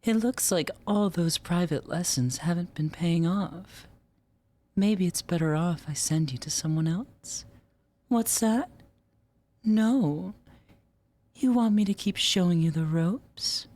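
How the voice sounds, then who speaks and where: A woman's voice: on the low side, slow, darkish tone. A woman performing a scripted roleplay as a teasing, domineering superior, in a sultry, condescending drawl. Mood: teasing, scolding. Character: teasing, domineering female superior scolding her agent.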